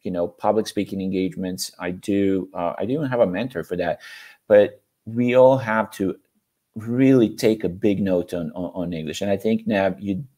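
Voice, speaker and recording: A man's voice: low voice, moderate pace, mellow. A man whose first language is Serbian, giving encouraging sales feedback in English with frequent restarts during an online session. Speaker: sales mentor.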